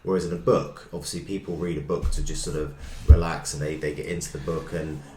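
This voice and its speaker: A man's voice: very deep voice, fairly even intonation, fast talker, a little mellow. A man co-hosting a podcast, talking casually and explaining his view with frequent little "you know" asides. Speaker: podcast co-host. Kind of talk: casual discussion chat. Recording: echoey room with background noise.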